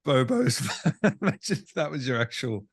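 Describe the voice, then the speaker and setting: A man's voice: mid-range voice, sing-song, moderate pace, slightly rough. A man hosting a casual interview, friendly and agreeable, with short questions and interjections. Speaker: interview host.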